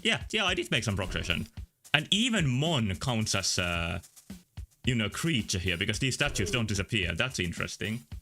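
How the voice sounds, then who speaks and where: Man, game streamer, rambling chatty commentary, fairly low voice, crisp bright tone, soft game music behind. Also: big pitch swings, normal speed. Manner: rambling, thinking aloud with fillers.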